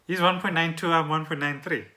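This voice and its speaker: A man with a South African accent and a very bright, forward voice. He talks casually and off the cuff, as a podcast co-host thinking aloud. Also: a little high, fairly even intonation, fairly quick. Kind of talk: casual unscripted podcast chat.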